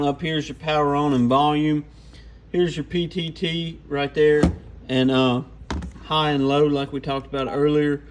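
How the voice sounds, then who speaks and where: A man's voice: a little high, darkish tone. A man giving an informal, off-the-cuff hands-on product walkthrough. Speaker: radio gear reviewer.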